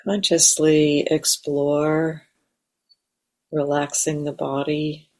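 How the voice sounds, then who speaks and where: Lady doing guided meditation, really slow and gentle, long pauses, barely any pitch movement. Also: level tone. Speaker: meditation teacher. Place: meditation center.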